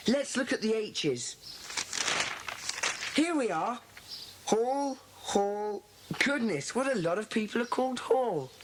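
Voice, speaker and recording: A woman playing a bossy, earnest schoolboy who leads a children's club, brisk and commanding in an audiobook dramatisation. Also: a little high, lively intonation. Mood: earnest, decisive. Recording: hissy old cassette dramatisation.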